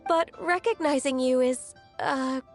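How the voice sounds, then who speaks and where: A woman's voice: high voice, measured pace, soft background music. A woman playing a polite, earnest young half-immortal disciple in a video game. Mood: respectful, earnest. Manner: polite, soft-spoken, earnest. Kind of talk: video game character acting.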